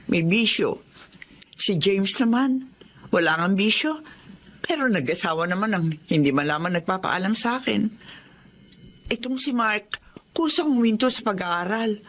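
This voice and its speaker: A woman's voice: medium pitch, animated, a little slow, pauses often, fairly bright tone. A woman playing a worried, long-suffering older mother in a drama, plaintive and earnest as she speaks to and about her grown children. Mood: sad, weary. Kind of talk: Filipino radio-style drama acting.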